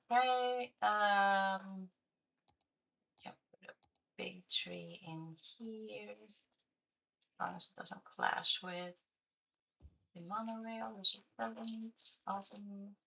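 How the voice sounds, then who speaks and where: Slow, gravelly woman streamer. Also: mid-range voice, a bit bright, rough recording. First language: Swedish. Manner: chatty, thinking aloud, hesitant with fillers. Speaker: game streamer. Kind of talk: casual livestream commentary while playing.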